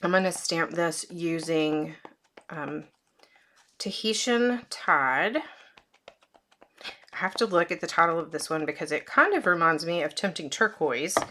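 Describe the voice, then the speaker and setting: A woman with a low, hoarse voice that is struggling to hold up talks through a card-making tutorial at her desk. Her delivery is chatty and loose, with lots of fillers, and a faint hiss sits under her voice. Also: expressive, unhurried, long pauses, sharp tone. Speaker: craft demonstrator.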